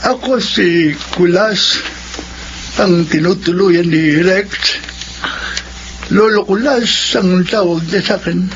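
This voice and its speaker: A man's voice: high voice, slow, pauses often. Elderly male visitor, radio drama: Filipino, solemn and kindly, dull lo-fi recording with noise behind. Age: older.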